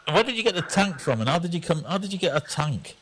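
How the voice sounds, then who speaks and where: A man's voice: a little high, sing-song, quick pace, crowd noise. A man hosts a radio talk show and puts questions to his guest in an easy, casual way. He often hesitates with short fillers before he gets to the point. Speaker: radio host.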